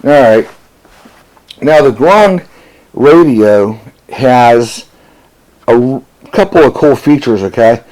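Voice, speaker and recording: A man's voice: mid-range voice, slow, long pauses, darkish tone, low-quality recording. A man who is a ham radio operator, casually demonstrating radio gear to a camera, folksy and full of fillers and "okay?" check-ins. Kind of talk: casual product demo to camera.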